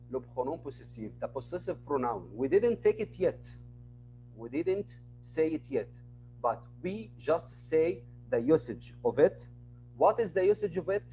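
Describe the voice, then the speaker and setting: Slow, patient male teacher. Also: fairly high pitch, darkish tone, slight background noise, poor audio. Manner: patient, repeats words and examples. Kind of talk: online class lesson. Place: online class.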